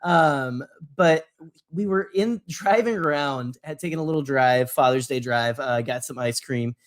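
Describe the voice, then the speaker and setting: A man's voice: mid-range voice, a little slow. A man co-hosting a podcast in casual banter, with a wry, playfully teasing way of talking. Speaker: podcast co-host.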